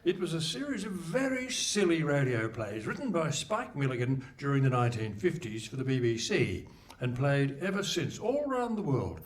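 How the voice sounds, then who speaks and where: A man's voice: fairly high pitch, very animated, moderate pace. A man playing a pompous, wry radio presenter, bantering theatrically in a live hall. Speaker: radio play host. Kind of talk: live radio play acting. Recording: live hall with faint noise.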